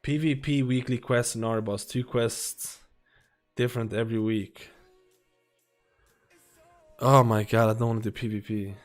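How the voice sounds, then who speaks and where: A man's voice: mid-range voice, fairly even intonation, faint music behind. Casual male streamer reading aloud. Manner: reads aloud haltingly, restarts words, casual asides. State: losing his voice. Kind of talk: live-stream chat, reading a guide and viewer questions aloud.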